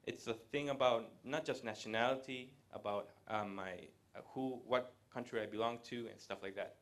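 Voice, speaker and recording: A man, giving a live presentation to an audience in a conversational way, pausing often to hesitate. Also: mid-range voice, steady tone, fairly bright tone. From Latin America. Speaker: guest speaker. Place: event room with a live audience.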